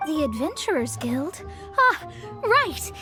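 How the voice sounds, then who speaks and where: A woman's voice: high-pitched, sing-song, takes it slowly, over loud music, heavily acted. A woman playing a proud, awkward young astrologer in an anime video game, haughty yet hesitant and easily flustered.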